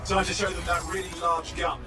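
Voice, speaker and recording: A man's voice: high voice, flat delivery, a little fast, close to an everyday voice. A man playing a nervy, chatty young mission partner in a video game, jittery and jokey. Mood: nervy banter. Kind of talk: naturalistic video game acting. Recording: voice under loud music.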